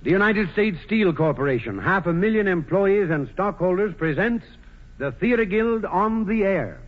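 A man's voice: high voice, lively intonation, normal speed, slight background noise. A man announcing a programme in formal, stately, declamatory 1940s radio style. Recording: tinny vintage radio, music behind.

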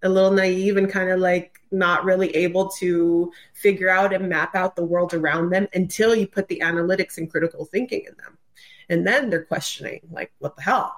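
A woman's voice: lowish voice, fairly even intonation, normal speed. A woman speaking as an interview guest in casual chat, emphatic and colloquial, often checking that the listener follows. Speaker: podcast guest, writer.

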